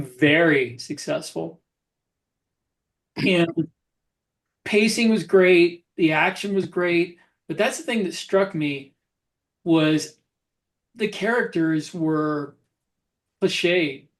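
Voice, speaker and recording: A man's voice: fairly high pitch, a bit bright. A man co-hosting a podcast, speaking casually in a reflective, somewhat hesitant way. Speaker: podcast co-host. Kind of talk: casual discussion.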